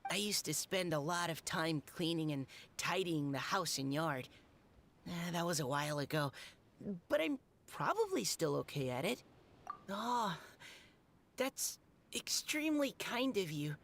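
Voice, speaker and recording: Gentle, animated young woman. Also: fairly low pitch, full character voice. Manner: courteous, slightly hesitant. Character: gentle, polite, soft-mannered young woman companion. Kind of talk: video game voice acting.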